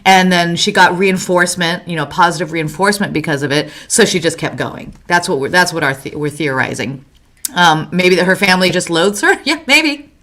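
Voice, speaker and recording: A woman born in Mexico co-hosting a casual chat show, lively and laughing often, dropping in Spanish words. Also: on the low side, moderate pace. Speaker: co-host.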